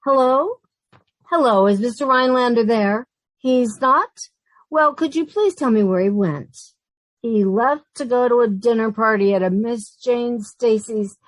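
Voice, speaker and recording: A woman's voice: on the high side, a little slow, no echo, natural voice, low-quality recording. A woman playing an anxious, sardonic young roommate who also narrates, in an old-time radio sitcom style. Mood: sardonic despair. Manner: wry, long-suffering, nervous.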